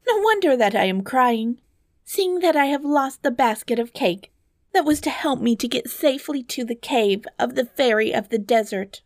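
Woman as a high-voiced, emotional young princess. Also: lively intonation, normal speed, no room sound, clean studio sound. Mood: tearful. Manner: plaintive, exclamatory. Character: young proud princess, anxious, emotional, indignant. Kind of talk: fairy tale storybook reading.

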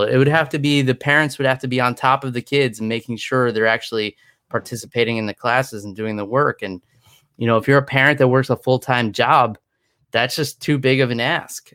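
A man's voice: mid-range voice, a bit bright, smooth, no room sound. A man co-hosting a podcast in easygoing, rambling chat, laughing often. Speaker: podcast co-host.

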